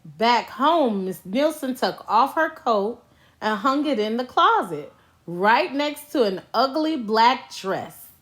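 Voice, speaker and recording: A woman narrating a children's story aloud as an expressive storybook reader. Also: fairly high pitch, takes it slowly.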